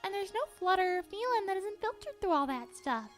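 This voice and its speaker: Tiny, high-pitched gentle book spirit. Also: female voice, sing-song, a little fast, faint music behind. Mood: gentle, earnest. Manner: cutesy, rambling, tender, playful slang. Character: gentle, chatty childlike female book spirit, affectionate and wistful. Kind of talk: comic dub voice acting.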